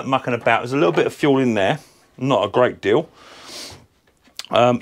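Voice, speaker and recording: A man hosting a casual lawnmower repair vlog from his workshop, his voice croaky from a sore throat, chatty and rambling with lots of ums. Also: medium pitch, a little hiss or hum. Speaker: lawnmower repair channel host.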